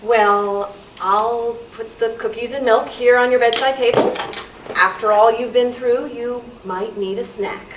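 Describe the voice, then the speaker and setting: A woman's voice: fairly high pitch, fairly even intonation, measured pace, close to an everyday voice. A woman playing an exasperated teenage girl babysitter, bossy but caring, in a live script reading of a spooky radio play. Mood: placating. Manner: scolding, exasperated, placating. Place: club meeting room, live reading. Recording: roomy distant microphone, noisy meeting room.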